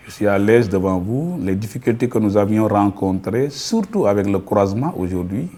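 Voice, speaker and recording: A Senegalese man talks formally in an interview as a local official. The voice is lowish, mellow and rather raspy, with repetitive, speech-like phrasing.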